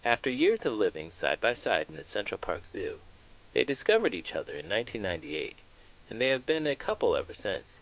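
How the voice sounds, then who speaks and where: Very animated man, children's storybook host. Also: fairly low pitch, a little fast, poor audio. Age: adult parent. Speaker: children's read-aloud host, teacher. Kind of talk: expressive storybook reading and playful banter.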